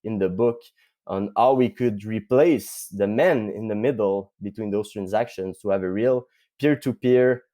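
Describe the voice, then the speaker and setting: A young man from Quebec with a Quebec French accent, speaking as a guest on a tech podcast interview and pausing often with fillers. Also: on the low side, warm tone. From Quebec, Canada. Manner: thoughtful, many filler pauses. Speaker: guest, tech company CTO.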